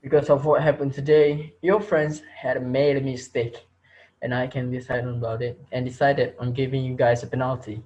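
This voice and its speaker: A man's voice: mid-range voice, flat delivery, normal speed, darkish tone, highs cut off, natural voice. A man acting as a menacing male teacher who mocks and taunts the class he holds hostage, in an amateur reader's theater drama. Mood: commanding. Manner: taunting, laughs at his victims.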